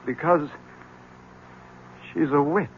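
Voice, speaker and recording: Polite but nervous husband, hesitant, apologetic, higher voice, noisy 1970s radio drama. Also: male voice, slow pace. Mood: nervous, intense. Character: polite, nervous husband confessing a deadly plan. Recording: dull, noisy old radio broadcast.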